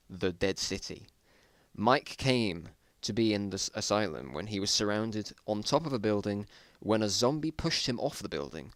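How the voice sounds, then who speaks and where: A man's voice: lowish voice, a bit bright, no echo. A man giving an amateur dramatic reading of his own old story, with amused, self-mocking asides. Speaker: narrator.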